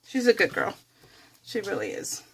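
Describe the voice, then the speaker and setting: A woman's voice: mid-range voice, huge pitch swings, normal speed, creaky, slight background noise. A middle-aged woman hosting a craft vlog, chatting casually and rambling as she works, often correcting herself and giggling. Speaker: craft video host.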